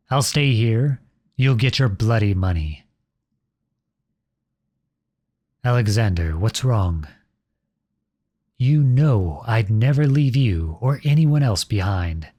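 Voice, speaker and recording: A man playing a protective, noble outlaw prince in an earnest, dramatic ASMR audio roleplay, with a soft, husky voice close to the mic. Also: lowish voice, no echo. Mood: resigned. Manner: earnest, dramatic, addresses unseen listeners directly. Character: outlawed young prince, protective, noble, devoted to his beloved. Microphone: close studio-style microphone, dry.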